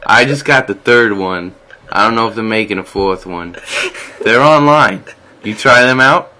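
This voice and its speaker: A man's voice: on the low side, moderate pace. A man with a Boston accent, co-hosting a casual podcast and telling jokey stories. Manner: joking, animated storytelling. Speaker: podcast co-host. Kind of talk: casual chat.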